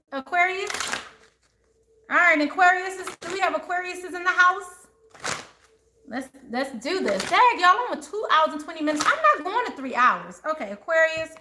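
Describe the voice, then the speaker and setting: A woman reading tarot cards live, chatty, laughing a lot and talking straight to her viewers. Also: very high-pitched. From born in Texas, raised in the Maryland and DC area. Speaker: tarot reader. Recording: echoey room with faint noise.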